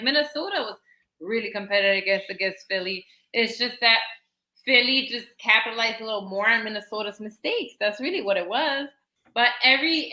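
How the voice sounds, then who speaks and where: Bright woman panelist in an echoey room. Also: fairly high pitch. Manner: talkative, filler-heavy, lots of "like" and "you know". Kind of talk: casual sports panel chat.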